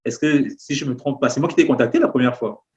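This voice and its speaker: A man's voice: mid-range voice, quick pace, dull audio, a little hiss or hum. A man hosts an interview in a conversational style, explaining things to his guest and viewers. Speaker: host.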